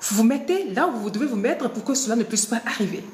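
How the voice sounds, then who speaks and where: A woman's voice: a little high, animated, fast talker, a little mellow, reverberant. A woman preaching a devotional sermon with a cold, laughing often and restarting her sentences. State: has a cold.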